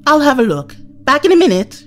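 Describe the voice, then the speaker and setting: An actress playing a feisty, sarcastic young travelling companion in an audio drama, cheeky and exclamatory. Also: female voice, sits high, very animated, fairly quick, fairly bright tone. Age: young adult.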